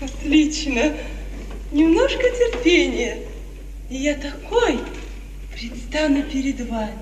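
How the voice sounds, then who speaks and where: Innocent young bride: extremely high, dreamy, girlish, theatrical. Old radio drama, long hall reverb, faint hiss. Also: animated, slow pace. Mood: coquettish, tender. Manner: girlish, lilting, theatrical delivery. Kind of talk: 1950s radio theatre acting. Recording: 1950s radio recording, echoey hall, distant.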